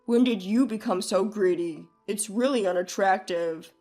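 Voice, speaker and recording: Woman doing a curt, domineering husband, pitched down. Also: moderate pace, darkish tone, soft background music, lightly acted. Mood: irritated, condescending. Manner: curt, scolding, condescending. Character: domineering, dismissive, selfish husband, adult man. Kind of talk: acted dialogue in a narrated family drama story.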